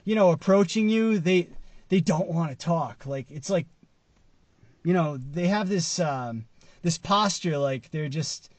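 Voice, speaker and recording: Higher-voiced man, casual commentary, dry sound. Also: lively intonation, a little slow, dry recording. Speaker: vlogger commenting on news. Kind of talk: casual commentary to camera, part read from an article. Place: inside a parked car.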